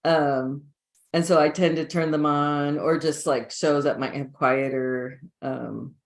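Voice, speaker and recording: A trainer speaking casually in a training discussion, relaxed with many fillers. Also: mid-range voice, slow pace.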